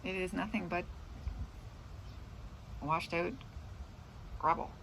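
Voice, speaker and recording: A woman's voice: lowish voice, level tone, slow pace, vocal fry, a bit bright, a little hiss or hum, low-quality recording. A middle-aged woman in casual, rambling chat about her day, drifting off into asides. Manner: meandering, trailing off into asides. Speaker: vlogger.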